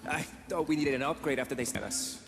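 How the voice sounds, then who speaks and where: An actor playing an earnest, eccentric, nerdy mechanic in a video game cutscene, precise, literal-minded and hesitant. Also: male voice, mid-range voice, fast talker, creaky, big-room sound, over music, natural voice.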